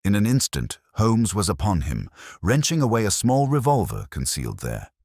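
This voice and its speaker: A man reading a scripted dramatic story as an audiobook narrator, in a measured, formal, literary manner. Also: on the low side, huge pitch swings, a little mellow, husky, dry recording, clean studio sound.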